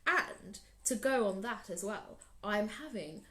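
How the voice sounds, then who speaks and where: A woman reading a storybook and voicing a generous male lion with a grand, drawn-out way of speaking. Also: mid-range voice, expressive, exaggerated voice. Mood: proud. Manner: grand, drawn-out, warmly proud.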